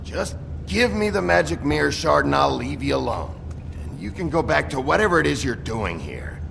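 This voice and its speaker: An actor playing a gruff, world-weary sheriff in a noir video game, blunt, terse and sardonically threatening. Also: male voice, on the high side, very animated, moderate pace, noisy background, full character voice. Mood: impatient, menacing.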